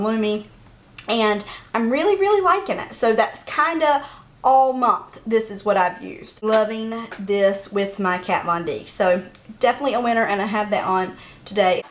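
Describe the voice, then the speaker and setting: Young female beauty vlogger, casual and chatty, slightly high pitch, rambling delivery. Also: fairly bright tone. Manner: chatty, apologetic, rambling with many "like" and "um". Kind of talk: casual monthly favorites vlog.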